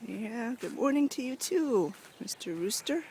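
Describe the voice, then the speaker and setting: Hoarse, raspy woman vlogging casually. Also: on the high side, very animated, unhurried, dark tone, reverberant, distant mic, a little hiss or hum, low-quality recording. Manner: chatty, rambling, frequent ums and restarts. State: hoarse from sinuses and allergies. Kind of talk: casual rambling vlog chat. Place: home and outdoor animal pens. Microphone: handheld camera, self-filmed.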